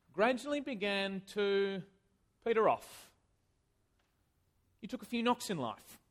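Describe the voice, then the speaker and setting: High, bright-pitched male preacher in a church, chatty and self-deprecating, speaking with lively swings of emphasis. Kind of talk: conversational sermon.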